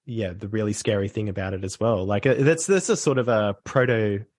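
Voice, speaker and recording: Male podcast guest, easygoing talker, lowish voice, dry close mic. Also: brisk, dry recording. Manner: relaxed, chatty, laughs easily. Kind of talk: casual chat about books.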